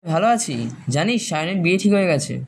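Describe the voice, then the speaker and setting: Man acting a fast-talking chummy young friend. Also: high-pitched, big pitch swings, slight background noise. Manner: colloquial, coaxing. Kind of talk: dramatized story dialogue.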